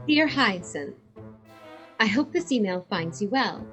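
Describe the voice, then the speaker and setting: A woman's voice: mid-range voice, animated, unhurried, a bit bright, soft background music. A woman playing a haughty, formal fashion-snob former sorority president in a dramatic reading, with a poised, imperious manner. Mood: composed, formal.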